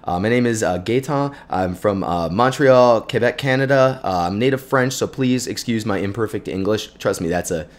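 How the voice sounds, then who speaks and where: A man in his thirties casually talking through viewer photos at home, relaxed and enthusiastic, with lots of fillers. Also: lowish voice, moderate pace, hardly any pauses. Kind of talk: casual chat. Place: bright living room at home. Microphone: desk condenser mic in frame.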